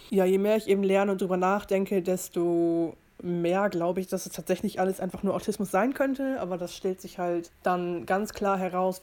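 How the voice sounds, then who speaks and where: A young woman from Germany, in her early twenties, speaks in a casual solo podcast style. Her voice sits at a middle pitch. She explains herself in an informal, reflective way, often circling back to put a point more precisely. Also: a little mellow. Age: early 20s. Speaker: podcast host. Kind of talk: casual personal monologue to listeners.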